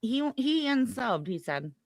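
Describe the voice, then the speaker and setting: A woman's voice: a little high, very animated, measured pace, no echo, studio quality. A woman in her forties chatting bluntly and laid-back on a livestream. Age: 40s. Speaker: guest streamer. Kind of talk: casual livestream chat.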